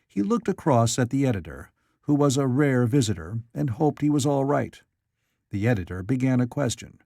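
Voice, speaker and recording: A man's voice: medium pitch, big pitch swings, normal speed, vocal fry, dark tone, no echo, studio quality. A man reading classic literary fiction aloud as a scripted audiobook narrator.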